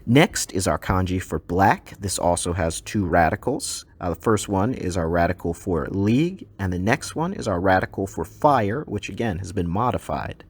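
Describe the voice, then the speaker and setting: A man whose first language is English, teaching a recorded slide lesson in a relaxed way with frequent ums and uhs. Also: low-pitched, normal speed, hardly any pauses, husky.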